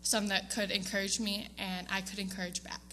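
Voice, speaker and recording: A woman's voice: mid-range voice, little pitch movement, brisk, bright, forceful tone, soft background music. A young woman giving a casual, hesitant speech to her church congregation, with frequent ums and small laughs. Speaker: student giving award speech. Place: church sanctuary.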